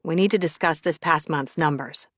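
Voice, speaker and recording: A woman's voice: deep voice, moderate pace, a bit bright, no echo. A woman voicing a confident, matter-of-fact male number cruncher in an audiobook. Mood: businesslike. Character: reserved, businesslike, confident man, a numbers person.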